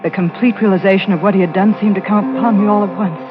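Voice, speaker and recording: A woman's voice: mid-range voice, steady tone, quick pace, natural voice. An actress playing a shaken young woman narrating a murder with intense drama in 1940s radio style. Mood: horrified. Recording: dull old radio recording. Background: dramatic music.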